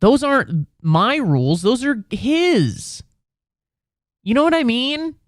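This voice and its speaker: A young man in his late twenties rants into a close, dry mic at home, sick with a cold and swearing freely. He talks slowly and drags out his words, then his pitch leaps wildly up and down as he gets worked up. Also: sing-song, no room sound. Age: 20s. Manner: profane, exasperated ranting with sudden high-pitched outbursts. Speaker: comedian podcast host. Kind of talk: ranting comedic monologue. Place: home room.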